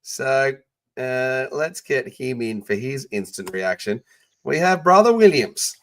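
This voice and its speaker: A man with an Australian accent hosting a casual sports podcast, with quick bursts of enthusiasm when he greets guests. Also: mid-range voice, animated. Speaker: podcast host.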